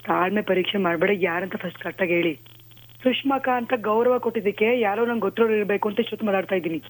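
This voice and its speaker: A woman's voice: medium pitch, fast, slight background noise. A woman argues on a phone call in a curt, scolding, impatient manner. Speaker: prank call recipient. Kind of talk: argumentative phone conversation. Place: on a telephone call.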